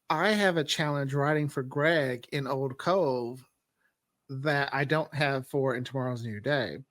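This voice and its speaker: A man's voice: medium pitch, a bit bright, dry recording, clean studio sound. A man talking casually as a scriptwriter on a behind-the-scenes panel.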